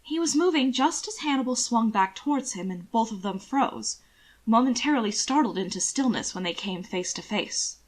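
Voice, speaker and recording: A woman reading scripted fiction aloud as narrator, in a measured, expressive storytelling manner. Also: on the high side.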